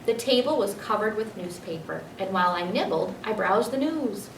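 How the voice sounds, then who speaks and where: A woman narrates a children's storybook expressively at a middle pitch. She sounds a bit away from the microphone in an echoey room, with faint noise behind her. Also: animated, moderate pace, far from the mic. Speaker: teacher reading a children's book aloud.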